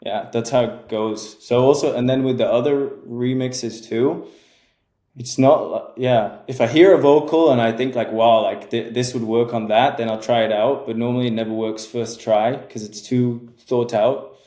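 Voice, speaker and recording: A young man in his twenties talks about making music in a relaxed, halting way, full of fillers and self-corrections. His voice is soft and mellow. The room around him is noticeably echoey. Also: mid-range voice. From England. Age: 20s. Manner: hesitant, many fillers and restarts. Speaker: music producer guest. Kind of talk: casual interview chat.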